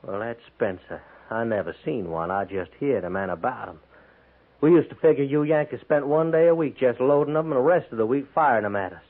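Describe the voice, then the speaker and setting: A man's voice: medium pitch, lively intonation, fairly quick. An American man playing a bitter, defiant Confederate prisoner turned Union soldier in a 1950s radio drama, speaking sullenly in blunt, folksy frontier grammar. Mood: hateful, defiant. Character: bitter, defiant captured Confederate cavalryman pressed into Union service. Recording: tinny, band-limited 1950s radio recording.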